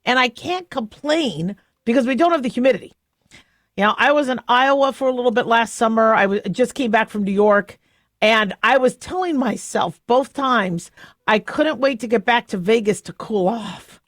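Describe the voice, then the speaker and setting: A woman's voice: a little high, moderate pace, dry recording, clean studio sound. A middle-aged American woman hosting a radio talk show, giving casual opinion in a conversational way, often searching for words. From southwestern United States.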